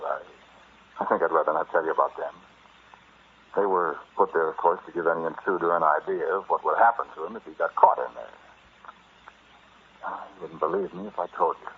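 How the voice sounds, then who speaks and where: An actor playing an earnest explorer who recounts a dreadful discovery in an old-time radio horror drama, dramatic and halting. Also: male voice, rumbling low. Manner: dramatic, brooding, halting delivery. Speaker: narrator and lead character. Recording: muffled, noisy vintage radio recording.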